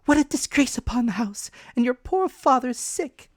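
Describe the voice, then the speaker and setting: A man's voice: animated, moderate pace, dry recording, studio quality. A man voicing a fretful, flustered innkeeper's wife who fusses and exclaims in a storybook reading. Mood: dismayed. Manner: high, exclaiming, fussy.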